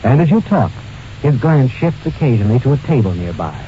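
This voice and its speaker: A man's voice: mid-range voice, big pitch swings, a little hiss or hum, close to an everyday voice. Man acting a dramatic, swooping suspense narrator. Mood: suspenseful. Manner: taunting, suspenseful, deliberate storytelling. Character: dramatic, knowing male narrator addressing the schemer. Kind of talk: 1940s radio suspense narration. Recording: dull vintage radio recording with music.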